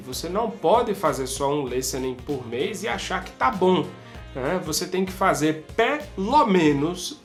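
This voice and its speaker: Higher-pitched Brazilian man teaching informally. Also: fairly bright tone. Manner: conversational, emphatic, addresses students directly, acts out their thoughts. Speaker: English exam prep teacher. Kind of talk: informal explanatory video lesson.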